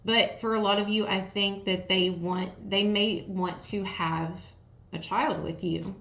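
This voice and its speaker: Hoarse woman tarot reader, mid pitch, blunt and repetitive, roomy echo. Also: slow, a bit bright. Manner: frank, repetitive, talks straight to the viewer. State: raspy, strained voice from yelling. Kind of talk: casual tarot reading to viewers.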